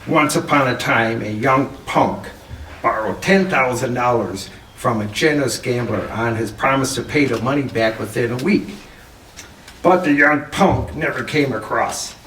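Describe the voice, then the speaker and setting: An actor playing a coolly mocking gangster enforcer in a crime radio play, with deliberate, sardonic delivery. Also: male voice, medium pitch, a bit bright, natural voice. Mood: mocking threat. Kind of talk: 1940s crime radio drama acting. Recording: echoey room, distant mic, background noise.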